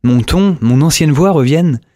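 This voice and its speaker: An actor playing a haunted lone survivor who keeps an audio diary, halting and brooding as he repeats his words. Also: a little high, animated, warm tone, no echo, clean studio sound, natural voice. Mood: confiding. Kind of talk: audio drama monologue recorded as a diary.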